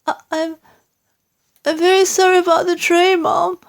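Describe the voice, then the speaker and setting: An anxious older maid who addresses her mistress respectfully and stammers when upset, played by a woman reading a storybook. The voice sits well above the reader's own. Her speech is slow. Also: high voice, pitched above the performer's own voice. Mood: quivering.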